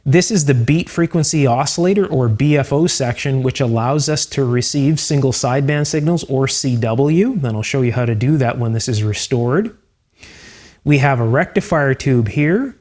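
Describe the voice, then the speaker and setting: A man hosting an electronics restoration video, explaining each step calmly and methodically, with frequent fillers. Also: medium pitch, moderate pace. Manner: calm, methodical, with fillers like "uh" and "you know". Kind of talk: hands-on technical explanation.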